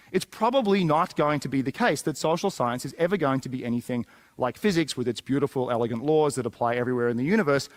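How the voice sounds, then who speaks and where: A man giving a prepared talk, earnestly arguing for an idea he advocates. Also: medium pitch. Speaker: speaker.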